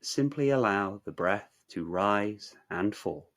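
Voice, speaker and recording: Very slow, low-voiced man guiding meditation. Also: animated, a bit bright. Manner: measured, soothing, instructional delivery with long pauses. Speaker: meditation guide. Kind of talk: guided meditation narration.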